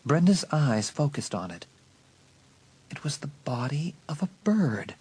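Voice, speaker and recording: Husky male horror audiobook narrator. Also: fairly high pitch, sing-song, a little mellow. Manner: suspenseful, deliberate emphasis. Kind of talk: dramatic scripted horror narration.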